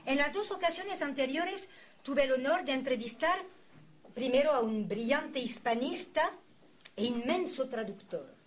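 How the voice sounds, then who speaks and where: A woman interviewer delivering a formal, literary introduction in solemn, florid, deliberate phrases. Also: sits high. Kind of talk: formal, literary scripted introduction with brief asides. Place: university event recorded for a filmed programme. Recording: clear background noise, room ambience.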